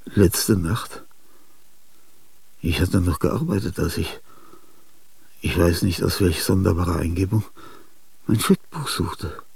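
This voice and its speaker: An actor in a radio drama playing an ailing, aging male genius, restless and grandiose. He speaks confidingly in a husky, gravelly voice, with sudden outbursts and whispers. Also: low voice, slow, long pauses, a little mellow, dry recording, heavily acted. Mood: uneasy. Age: older. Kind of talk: theatrical radio drama monologue.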